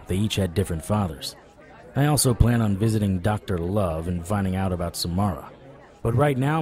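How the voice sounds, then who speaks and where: An actor playing a stubborn, wisecracking supernatural private investigator in an audio drama, wry, flirtatious and persuasive. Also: male voice, on the low side, warm tone, lightly acted. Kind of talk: audio drama acting with noir internal monologue.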